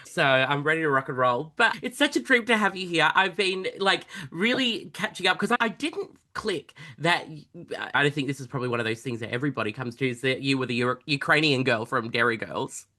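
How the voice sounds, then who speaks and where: A man's voice: fairly high pitch, animated, a little fast, pushed and bright. A man who performs in drag, hosting a podcast with a bubbly, giggly manner and the odd swear word. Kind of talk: casual podcast chat.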